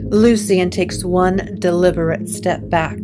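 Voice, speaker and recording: A woman narrating a scripted gothic audio drama in a measured, solemn, atmospheric manner. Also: on the low side, steady tone, music in the background.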